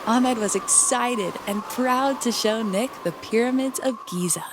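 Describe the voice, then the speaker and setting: High-voiced woman reading a children's story. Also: measured pace. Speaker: storybook narrator. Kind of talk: children's read-aloud narration. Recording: clearly noisy background.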